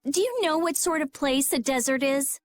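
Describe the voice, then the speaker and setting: Blunt, high-voiced teenage girl. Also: expressive, moderate pace. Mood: sarcastic, impatient. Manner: dry, sarcastic, critical. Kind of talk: animated RPG video game dub. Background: faint background music.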